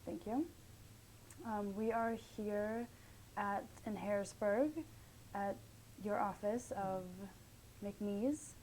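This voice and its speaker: A woman's voice: mid-range voice, steady tone, slow pace, pauses often, darkish tone, slight background noise, low-quality recording. A young adult woman conducting an oral history interview, asking questions in a tentative way with many fillers.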